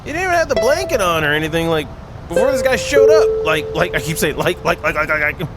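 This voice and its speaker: High-pitched, animated man playing a game. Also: fast talker. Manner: playful asides, dramatic reading. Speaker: let's play host, reading a visual novel aloud. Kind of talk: casual gameplay commentary and read-aloud narration. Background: game music.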